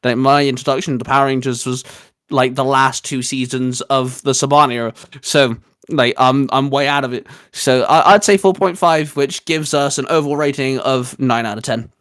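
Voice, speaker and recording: A man in his twenties with a medium-pitched voice, recorded dry. He co-hosts a casual geeky discussion and explains his opinions in an enthusiastic, rambling way. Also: fairly even intonation, normal speed, a bit bright, no echo. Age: 20s. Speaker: co-host of a fan discussion show. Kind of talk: casual geeky review chat.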